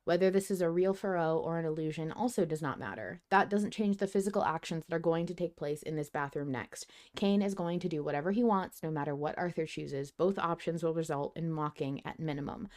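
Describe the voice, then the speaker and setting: A woman hosting a casual fan podcast discussion, talking in an earnest, expressive way. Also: sits low, a little fast, hardly any pauses. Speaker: podcast host.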